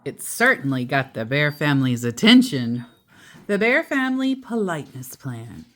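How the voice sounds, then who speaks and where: A woman storyteller reading a children's picture book aloud expressively, drawing out key words and adding playful asides. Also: sits low. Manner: animated, drawn-out emphasis with playful asides.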